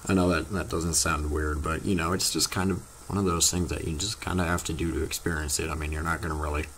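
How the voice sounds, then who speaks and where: A teenage boy, slightly hoarse from losing his voice, chatting casually to his viewers in a rambling, halting way full of fillers. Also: a bass voice, little pitch movement, fairly bright tone, slight background noise. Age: teens. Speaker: vlogger. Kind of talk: casual vlog chat to viewers.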